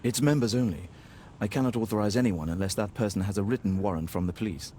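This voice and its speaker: An actor playing an earnest, upper-class Victorian club gentleman in a video game, British, hesitant and formal. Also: lowish voice, fast, slight background noise, natural voice. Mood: formal, guarded. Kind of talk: scripted video game acting.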